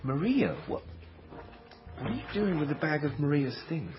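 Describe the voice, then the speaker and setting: An actor in a radio drama playing an amiable, sensible male lawyer on a date, polite and measured in manner. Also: a little high, very animated, lo-fi sound, over music. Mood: puzzled, alarmed. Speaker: lawyer character. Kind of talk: naturalistic radio drama acting.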